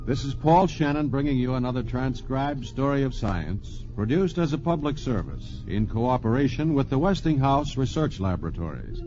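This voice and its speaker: Man narrating an old radio science show, lively delivery, music behind, lo-fi. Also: medium pitch, normal speed, hardly any pauses. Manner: genial, explanatory. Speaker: narrator, host. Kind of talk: scripted narration. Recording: dull transcription disc recording with music.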